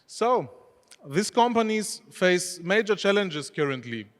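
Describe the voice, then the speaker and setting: A man's voice: high voice, sing-song, measured pace. Greek-native man presenting in a hall. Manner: chatty, questions the audience, non-native phrasing. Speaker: conference speaker, head of e-commerce. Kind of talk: conference presentation. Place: expo hall stage. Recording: long reverb of a large hall.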